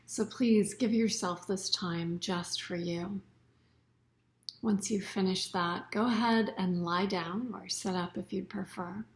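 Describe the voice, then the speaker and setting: A woman teaching a guided yoga nidra meditation in a soothing, unhurried way, her phrases often trailing off into soft breath. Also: lowish voice.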